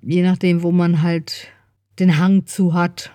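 A woman's voice: lowish voice, level tone, slow pace, mellow, no room sound. A middle-aged woman co-hosting a podcast in casual, colloquial conversation, often adding little tag questions. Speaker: podcast co-host. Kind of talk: casual chat.

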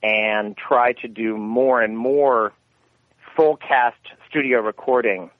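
A man's voice: medium pitch, steady tone, slow, dry recording. Man on a tinny phone line. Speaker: interview guest, radio theatre producer. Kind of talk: casual interview.

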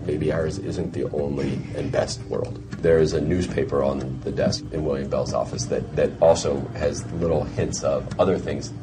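American male, extremely deep register, explanatory interview commentary, clear music bed underneath. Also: fairly even intonation, moderate pace, hardly any pauses. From United States. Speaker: television producer interviewed about a show. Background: background music.

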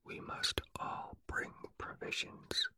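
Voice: slow pace, lightly acted. A storyteller voicing a kindly, confident young boy from a children's storybook in a fondly instructing whisper. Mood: assured, instructing.

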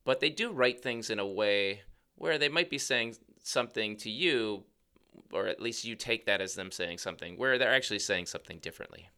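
A man co-hosting a podcast as a cosmetic scientist, with a gravelly voice, explaining things in a relaxed, chatty way. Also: lowish voice, fairly quick. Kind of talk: casual chat.

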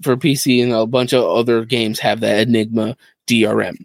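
A man with a medium-pitched voice explains gaming news in a casual, conversational way as a podcast co-host. The recording is very dry, with hardly any room sound. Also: little pitch movement, normal speed, vocal fry, no room sound.

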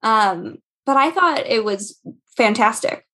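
A woman's voice: on the high side, lively intonation, slow, bright, forceful tone. A woman hosting a podcast, chatting casually about books in a bubbly, enthusiastic manner. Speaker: podcast host. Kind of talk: casual book chat on a podcast.

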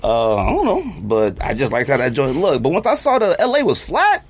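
A man hosting a sneaker podcast, joking around with friends and reading the sponsor spot in a loose, animated way. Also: mid-range voice, a little fast, breathy edge. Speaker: podcast host. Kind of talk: casual chat.

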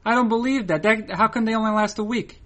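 A man's voice: sits high, fast, fairly bright tone. Dry, wordy male podcast host. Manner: dry, wordy, formal humor. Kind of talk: deadpan scripted intro and ad read.

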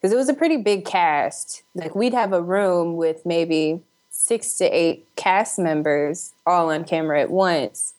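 Female interview guest, lower-pitched voice, upbeat and conversational. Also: measured pace, a little mellow. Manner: upbeat, chuckles while talking. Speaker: interview guest, actor and film director. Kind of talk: casual interview chat.

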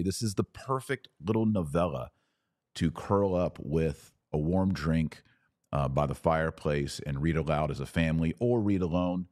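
A man's voice: low-pitched, a little mellow, no room sound, clean studio sound. An American man hosting a podcast, giving casual religious commentary and answering listeners in an emphatic, teacherly way. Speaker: podcast host.

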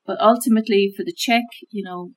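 Husky-voiced woman explaining fact-checking. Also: mid-range voice, fairly even intonation, a little mellow, poor audio. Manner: thoughtful, measured, with frequent fillers and restarts. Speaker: guest expert on media literacy. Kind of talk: conversational explanation.

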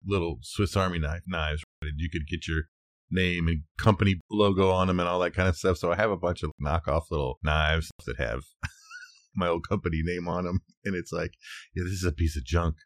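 A man's voice: low voice, normal speed, dry recording, clean studio sound. A man co-hosting a podcast, talking and telling stories in an informal, easygoing, conversational way. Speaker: podcast co-host. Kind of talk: casual conversational storytelling and discussion.

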